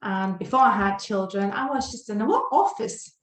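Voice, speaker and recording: A woman's voice: medium pitch, sing-song, moderate pace, reverberant. A woman in her forties from Lithuania, a guest on a podcast interview, talking with many fillers and restarts. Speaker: guest, health and well-being coach.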